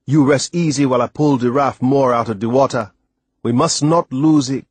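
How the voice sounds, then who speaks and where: A man voicing a hearty, reassuring old West Indian seaman in an audiobook, speaking dialect emphatically and deliberately. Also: mid-range voice, steady tone, slightly rough, no echo, close to an everyday voice. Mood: hearty, pleased. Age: older. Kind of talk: audiobook character voice.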